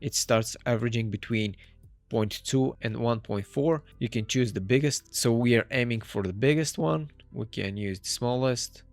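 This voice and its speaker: A man from Bulgaria, a native Bulgarian speaker, walking through a software tool step by step in a relaxed tutorial style with slightly non-native phrasing. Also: medium pitch, moderate pace, no room sound, faint music behind. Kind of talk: screen-recorded software tutorial.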